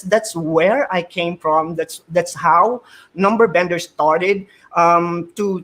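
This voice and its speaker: A man's voice: high voice, fairly bright tone. A man with a Filipino accent, a math teacher and a native Filipino speaker, earnestly telling his story as a panel guest on a video call, often repeating words as he thinks. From Philippines.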